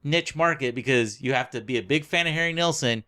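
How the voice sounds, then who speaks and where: A man co-hosting a casual book discussion podcast, speaking haltingly and often circling back to rephrase. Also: medium pitch, sharp tone, no room sound, studio quality. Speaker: podcast co-host.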